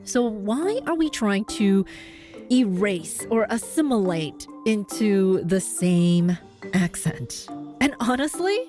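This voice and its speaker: A woman's voice: animated, slow pace, dark tone, soft background music. Upbeat Canadian woman with a neutral accent. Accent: neutral North American. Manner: upbeat, encouraging, with big jumps in pitch for emphasis. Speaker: podcast host and voice coach. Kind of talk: scripted podcast monologue.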